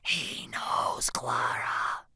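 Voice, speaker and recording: Woman acting a frantic, high-pitched melodramatic teenage girl. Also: wildly swooping pitch, moderate pace, dry recording, clean studio sound. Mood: panicked whisper. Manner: dramatic, blurting, exclamatory.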